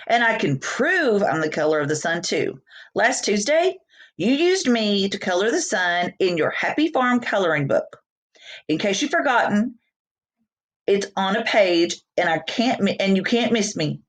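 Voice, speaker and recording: Lady playing a storybook crayon, proud n petty, insistent, lively pitch, slow. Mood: indignant, proud. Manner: boastful, insistent.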